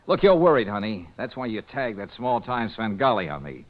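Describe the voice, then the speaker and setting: Wisecracking radio private eye, lively delivery. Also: male voice, lowish voice, brisk. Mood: sardonic, pressing. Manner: sardonic, slangy, commanding. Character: wisecracking, tough, confident male private detective. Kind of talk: old-time radio detective acting. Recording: muffled old radio drama recording.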